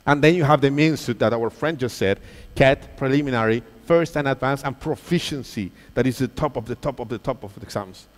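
Man, thirties, lively stage presenter quizzing a big audience, ringing hall reverb. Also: medium pitch, a little fast. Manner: lively, engaging, asks the crowd questions. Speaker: presenter at a teachers' convention. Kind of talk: live quiz-style presentation to an audience. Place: large auditorium stage.

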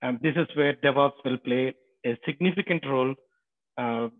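A man's voice: mid-range voice, moderate pace. A man hosting an online panel, talking fluently with some fillers. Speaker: host, company founder. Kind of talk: panel moderating. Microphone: online call. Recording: tinny phone-like call.

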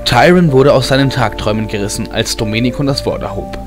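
A man's voice: medium pitch, quick pace. A man reading scripted fantasy narration as an audiobook narrator. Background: background film music.

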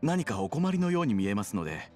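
An actor playing a courteous, smooth-talking nightlife guide and wheeler-dealer in a Japanese video game, with polite salesmanlike phrasing. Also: male voice, mid-range voice, very animated, quick pace, mellow, faint music behind, natural voice. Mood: relenting, bargaining.

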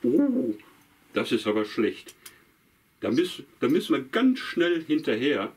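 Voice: mid-range voice, sing-song, measured pace, a little mellow, breathy edge. A puppeteer voicing the friendly, stammering companion of a dog in a puppet show. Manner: friendly, stammers, asks questions. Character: helpful, kindly friend of the dog.

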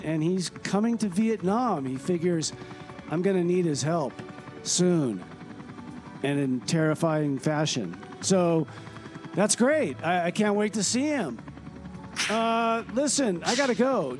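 A man playing a time-travelling radio regular who chats offhandedly with deadpan asides. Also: high-pitched. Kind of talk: comedy radio theater. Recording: sketch with music underneath.

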